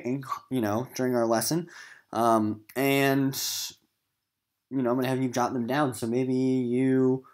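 A man with a mid-pitched voice teaches literature to middle schoolers in a recorded lesson. He talks informally and conversationally, addressing the students directly as he explains what he expects. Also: a little mellow. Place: voice-over on a screen recording of slides.

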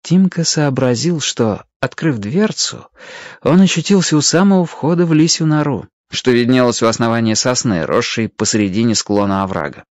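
A man's voice: mid-range voice, normal speed, no echo, muffled recording. A man narrating a children's adventure story expressively from a script. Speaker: audiobook narrator.

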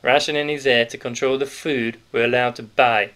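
A man's voice: mid-range voice, fairly even intonation, normal speed, a bit bright, natural voice. A man voicing a stout, sly, cheerful male wartime grocer with a Yorkshire accent, in a knowing, conspiratorial manner. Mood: jovial.

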